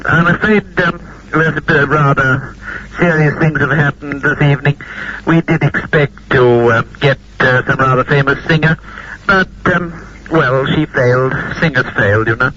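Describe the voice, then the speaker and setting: An actor playing a flustered, jokey 1920s radio announcer, hesitant and rambling in a recreated early broadcast. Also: male voice, fairly high pitch, bright, forceful tone. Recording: dull radio sound, crowd noise behind.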